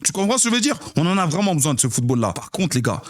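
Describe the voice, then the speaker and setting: A man's voice: fairly high pitch, very animated, rapid-fire. Insistent young man arguing football. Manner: insistent, repeats phrases to cut in; street slang, calls people brother. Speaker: streamer hosting a football debrief. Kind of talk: heated casual debate on a live stream.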